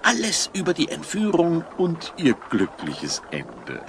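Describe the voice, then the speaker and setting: An actor playing a gleeful, sensation-hungry small-town newspaper columnist in a German audio drama, theatrical in manner. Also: male voice, fairly high pitch, huge pitch swings, measured pace, a little hiss or hum, heavily acted. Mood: gleeful, boastful.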